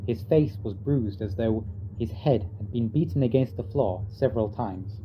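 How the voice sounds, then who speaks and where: Male actor as grave doctor: mid-pitched, solemn, dramatic, radio horror, dull noisy track. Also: normal speed, natural voice. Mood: grave, shaken.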